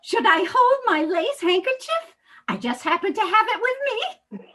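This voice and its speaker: A woman's voice: very high-pitched, lively intonation, a little slow, a bit bright, heavily acted. A woman playing a fussy, timid old lady in a comic readers theater piece, with a fluttery, genteel, easily upset manner. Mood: wistful, genteel. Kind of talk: comedic readers theater acting.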